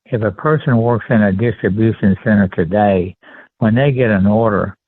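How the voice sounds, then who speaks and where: Through a thin, phone-like line comes an elderly man from rural Texas with a Texan accent. His voice is on the low side. He recounts memories politely and deliberately, with halting pauses, as the guest in an interview. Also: dry recording. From rural Texas, USA. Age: 70s. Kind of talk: conversational personal recollection. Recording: tinny phone-line sound.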